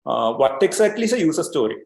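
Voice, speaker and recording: A man's voice: a little high, very animated, fast talker, vocal fry, darkish tone, roomy sound. A man from Sri Lanka presenting an online training webinar as an agile coach, explaining steadily with many fillers and repeated words.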